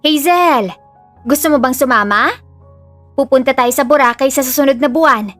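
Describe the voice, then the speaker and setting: Sugary, two-faced middle-aged aunt, acted by a woman; high voice, faint music behind, radio-drama delivery. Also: moderate pace, a bit bright, no room sound. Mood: coaxing, sweet. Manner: gushing, falsely sweet. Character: sugary, falsely caring middle-aged aunt, secretly scheming. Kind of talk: Filipino audio drama acting.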